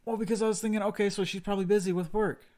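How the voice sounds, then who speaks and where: A man's voice: sits high, fairly even intonation, rapid-fire, darkish tone. A man co-hosting a casual livestream chat, telling a long story in a rambling, self-deprecating way. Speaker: stream co-host. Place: home livestream setup.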